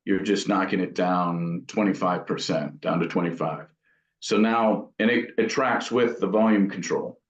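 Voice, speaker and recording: Low-voiced man in a dull, echoey room. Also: fairly even intonation, unhurried. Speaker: guitar amp builder and guest. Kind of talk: casual explanatory interview talk. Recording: dull, roomy, echoing audio.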